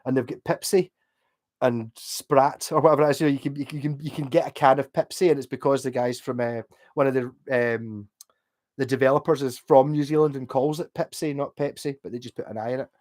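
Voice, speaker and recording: A man's voice: mid-range voice, steady tone, a little fast, darkish tone. A man with a Glasgow accent rambling casually and swearing freely as a livestream host, reading out and answering viewers' comments. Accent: Glaswegian. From Glasgow, Scotland. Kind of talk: casual chat.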